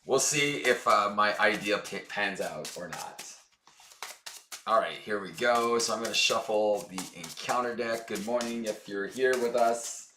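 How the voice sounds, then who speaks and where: A hoarse man chatting casually as he plays through a card game for his channel, upbeat and full of fillers. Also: on the low side, a little slow, bright, forceful tone, roomy sound, slight background noise. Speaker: board game channel host. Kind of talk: casual tabletop game playthrough.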